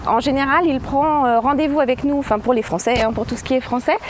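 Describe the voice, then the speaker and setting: A woman's voice: high voice, quick pace, a little hiss or hum. Hesitant woman guiding a factory tour. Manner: hesitant, many fillers, laughs often. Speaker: company staff guiding a visitor. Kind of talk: casual spoken tour explanation. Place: new office building, indoors.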